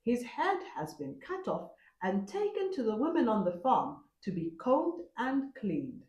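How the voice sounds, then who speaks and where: A woman's voice: a little high, very animated, measured pace, darkish tone, reverberant, highs cut off, pitched above the performer's own voice. A woman voicing a polite, solemnly deceiving servant in a folktale, emphatic and sing-song. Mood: earnest. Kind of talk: folktale storytelling.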